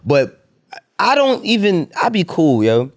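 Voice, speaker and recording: A man's voice: a little high, big pitch swings, unhurried. A man in his thirties in casual chat, loose and swearing, often breaking into laughs. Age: 30s.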